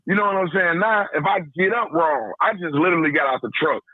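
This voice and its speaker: A middle-aged man tells a story expressively, in a voice that sits a bit high. He comes through a thin, tinny phone line with a dry sound. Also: fast talker, dry recording. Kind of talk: casual chat.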